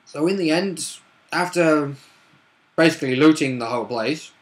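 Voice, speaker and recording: Casual male storyteller, a tabletop player retelling a session off the cuff, halting and self-correcting. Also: a little high, low-quality recording. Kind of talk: casual unscripted game recap.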